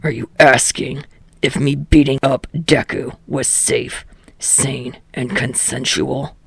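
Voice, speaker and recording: A woman's voice: rumbling low, steady tone, slow, gravelly, husky, dry recording, pitched below the performer's own voice, full character voice. A woman voicing a surly, sardonic, foul-mouthed teenage boy in a story reading, with a biting mutter.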